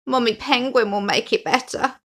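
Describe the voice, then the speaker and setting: A woman voicing an excitable little boy penguin in a children's storybook, in an expressive way. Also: a little high, moderate pace, pitched above the performer's own voice, close to an everyday voice. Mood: stoical.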